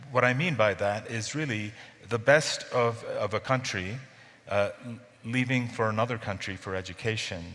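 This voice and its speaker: Middle-aged man, conference panelist, low voice, halting delivery, hall reverb. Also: a bit bright. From Bangladesh. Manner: hesitant, many fillers and restarts. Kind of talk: panel discussion.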